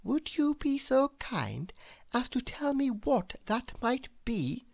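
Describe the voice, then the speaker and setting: A woman voicing an unfailingly polite, gentle male storybook creature with a soft, courteous manner. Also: sits high, very animated, slow, creaky, no echo, lo-fi sound, pitched above the performer's own voice, full character voice.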